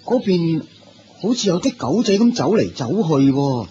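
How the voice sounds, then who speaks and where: A man's voice: a little high, very animated, moderate pace. A man playing a cheerful, simple-hearted older villager in a radio drama, eager and inquisitive. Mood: curious. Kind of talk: Cantonese radio drama acting. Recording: dull radio recording with faint noise.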